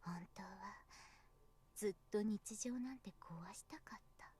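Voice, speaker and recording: A woman playing a possessive, coolly amused young woman in a visual novel, teasing with soft, breathy whispers. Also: mid-range voice, a little fast. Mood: taunting, possessive. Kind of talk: Japanese visual novel voice acting.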